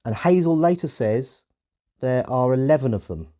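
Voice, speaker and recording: A man's voice: mid-range voice, lively intonation, normal speed, dark tone. A middle-aged man with a British accent hosting a casual discussion in a tentative, reflective way. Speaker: podcast host.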